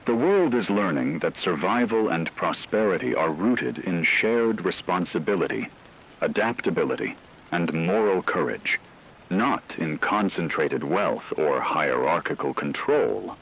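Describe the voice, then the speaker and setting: A man delivering scripted news narration as a radio co-anchor in a formal, measured way. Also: sits low, lively intonation, gravelly, fairly bright tone, slightly rough, poor audio.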